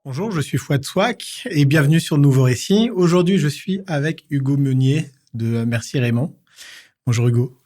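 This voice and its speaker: A man's voice: on the high side, moderate pace, a little mellow. A man hosting an interview podcast, keeping the talk going with short affirmations and questions. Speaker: podcast host. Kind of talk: interview hosting with brief backchannels. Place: podcast studio.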